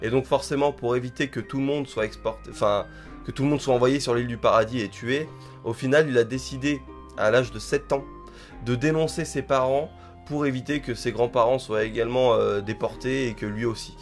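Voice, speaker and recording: A man's voice: medium pitch, fairly even intonation, brisk, soft background music. A man with a stuffy head cold giving a casual spoken manga chapter review, rambling and thinking aloud as he hedges.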